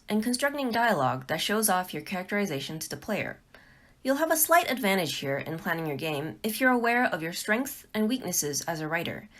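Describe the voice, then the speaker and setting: A woman presents a scripted tutorial in an explanatory way. Her medium-pitched voice swings up and down a lot as she moves through measured phrases. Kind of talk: scripted narration for an instructional video.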